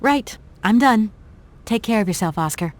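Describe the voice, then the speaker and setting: A woman's voice: mid-range voice, moderate pace, lightly acted. A woman playing a plucky, practical young adventurer in a video game, with a natural, wry delivery. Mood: wry, exasperated. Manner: natural, expressive, casually wry. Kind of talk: video game character acting.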